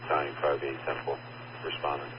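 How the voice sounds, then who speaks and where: A male fire officer with a deep voice answers tersely in brief radio phrases. He sounds far from the mic, on a muffled channel with clear static. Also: monotone, fairly quick. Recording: distant, muffled, noisy radio transmission.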